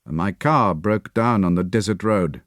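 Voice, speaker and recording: A man voicing a calm male spy in his thirties who answers in terse, evasive lines. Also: sits low, a little slow, no echo, clean studio sound. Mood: guarded.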